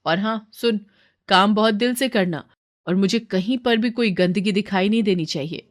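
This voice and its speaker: A woman voicing a proud, bossy but kindly employer lady, commanding and brisk, in a moral story. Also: mid-range voice, natural voice.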